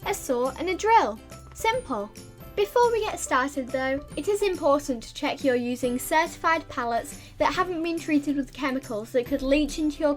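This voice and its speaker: A woman reading a scripted how-to voiceover, giving clear step-by-step instructions as the narrator of an instructional video. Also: high voice. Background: background music.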